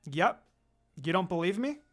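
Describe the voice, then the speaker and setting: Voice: medium pitch, big pitch swings, unhurried, fairly bright tone. A reader voicing a guarded, blunt young female ninja who teases and commands in a terse, dry manner. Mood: teasing.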